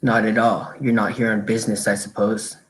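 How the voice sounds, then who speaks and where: Man playing low-pitched, suave French police inspector. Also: flat delivery, fairly quick, a bit bright, lightly acted, rough recording. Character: smooth, self-assured male French police inspector. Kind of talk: radio drama acting.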